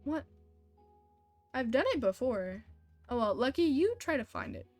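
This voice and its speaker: High-voiced woman livestreaming a game. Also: big pitch swings, unhurried, darkish tone, dry recording. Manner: muttering to herself, blunt short questions and reactions. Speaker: game streamer. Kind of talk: casual livestream chat over gameplay. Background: game music.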